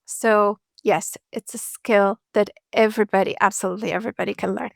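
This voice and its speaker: A woman speaking as a public speaking coach in a podcast interview, explaining in an articulate, measured and reassuring way. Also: mid-range voice, steady tone, gravelly, no room sound, studio quality.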